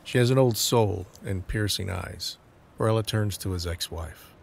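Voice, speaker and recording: A man's voice: fairly low pitch, normal speed, vocal fry, a little hiss or hum. A man narrating a scripted audio drama, reading scene descriptions as the narrator.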